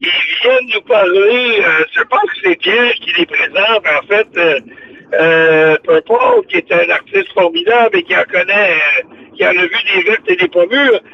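Distant, dull-sounding older Quebec man, high-voiced. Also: sing-song, moderate pace, a little hiss or hum. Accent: Quebec French. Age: 60s or older. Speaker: radio show host. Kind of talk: casual reminiscing chat on radio.

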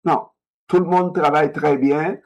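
A man in a discussion, talking in an animated way, repeating himself and asking rhetorical questions. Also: fairly high pitch, a little slow.

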